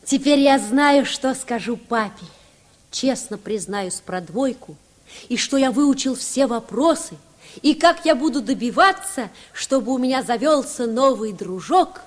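High-voiced earnest schoolboy Petya. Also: female voice, animated, a little slow. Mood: eager. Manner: sincere, boyish. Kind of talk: Soviet radio play acting.

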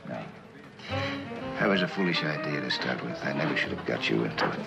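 Troubled man, deep gravelly voice. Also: lively intonation, brisk, loud music behind the voice, full character voice. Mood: rueful, uneasy. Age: middle-aged. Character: troubled, regretful middle-aged man confiding in friend. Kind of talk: 1960s television drama acting.

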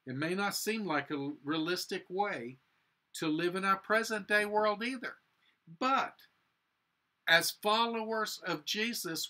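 A man in his sixties preaching and praying as a pastor, earnest and deliberate, in short measured phrases. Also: high-pitched, sharp tone. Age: 60s. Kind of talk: sermon and prayer. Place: indoor room with a cross, small chapel or office.

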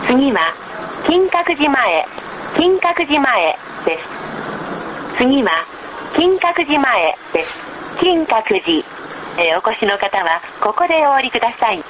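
A woman reading scripted bus stop announcements in a formal, measured, polite manner on a low-quality recording. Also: very animated, highs cut off. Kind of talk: scripted public transit announcement. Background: loud vehicle noise.

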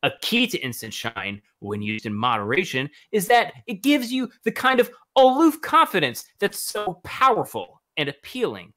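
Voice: medium pitch, wildly swooping pitch, normal speed, few pauses, a bit bright. A podcast co-host reading absurd marketing copy aloud, with joking asides.

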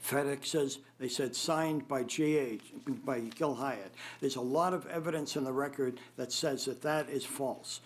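An older man giving formal, unscripted testimony at a public hearing, speaking in a halting, hesitant way with frequent pauses. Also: medium pitch. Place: government board meeting room, indoors. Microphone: gooseneck table microphone.

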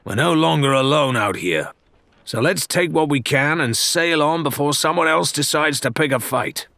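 Fearsome pirate captain, acted by a male narrator, forceful, commanding, lifted pitch, audiobook adventure. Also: lively intonation, normal speed, creaky, no room sound, exaggerated voice. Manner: forceful, roaring authority.

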